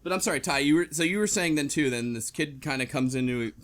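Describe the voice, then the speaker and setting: A man's voice: on the high side, quick pace. Rambling man hosting a casual discussion. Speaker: podcast host. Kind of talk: casual book club discussion.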